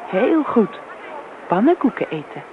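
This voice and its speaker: An actress playing a lively, motherly young woman in a radio drama. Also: medium pitch, unhurried. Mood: cheerful. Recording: noisy, tinny radio outdoor scene.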